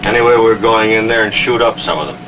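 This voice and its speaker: An American actor playing a confident, unflappable submarine commander in a 1950s television war drama. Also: male voice, on the low side, quick pace, close to an everyday voice. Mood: calm, confident. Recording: noisy, dull, distant 1950s film soundtrack.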